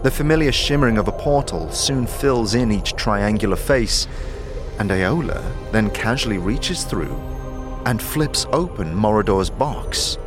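A man with a fairly low voice narrates a fantasy story. His delivery is scripted, measured and dramatic, addressing the listener as the hero. Music plays clearly behind him.

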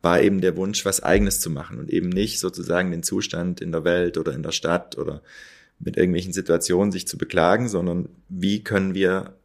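A man's voice: low-pitched, fairly even intonation, brisk, vocal fry. A man who founded a hotel, talking reflectively as an interview guest, searching for words with many fillers.

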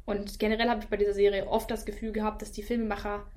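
A woman in a relaxed podcast discussion, giving firm opinions about a series. She pauses on fillers often and keeps a middling pitch. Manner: lively opinions with frequent fillers. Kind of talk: casual review chat.

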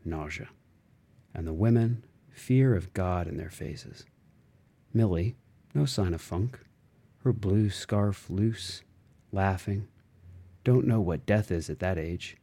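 A man reading a literary novel aloud in a measured, expressive way with dramatic phrasing. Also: low-pitched. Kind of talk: literary audiobook narration.

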